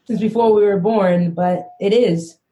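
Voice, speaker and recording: A woman hosting a podcast, speaking earnestly and slowing down to stress her points. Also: mid-range voice, fairly even intonation, mellow. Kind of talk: casual podcast discussion.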